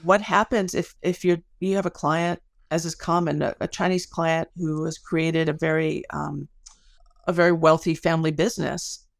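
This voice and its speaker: Female academic guest, creaky voice in a dry recording, explaining conversationally with everyday examples. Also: deep voice, steady tone, moderate pace, no room sound. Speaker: interview guest, academic researcher. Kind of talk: conversational interview, explaining research.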